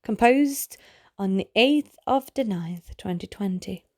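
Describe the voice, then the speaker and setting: A woman reading a children's storybook aloud in a measured way, pausing phrase by phrase. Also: mid-range voice, animated, slight background noise. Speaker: read-aloud narrator of a children's book. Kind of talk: slow read-aloud of short storybook pieces.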